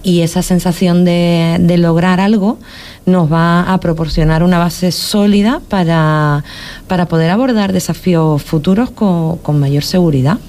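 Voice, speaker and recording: Low, mellow-voiced woman hosting radio. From Spain. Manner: thoughtful, repeats words and adds tag questions. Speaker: radio show host. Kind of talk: casual radio chat. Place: local radio studio.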